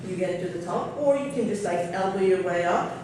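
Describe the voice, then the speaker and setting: A woman's voice: lowish voice, fairly quick. A woman from Norway speaking on a seminar panel, candid and thinking aloud with fillers, in a large hotel conference room. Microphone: table mic heard from far off. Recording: very echoey room, far from the mic, noisy.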